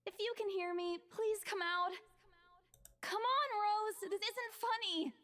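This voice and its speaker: Very high-pitched, frightened young woman. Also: unhurried, pushed and bright, exaggerated voice. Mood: nervous, pleading. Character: frightened, irritated young woman searching a haunted mansion. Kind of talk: visual novel voice acting.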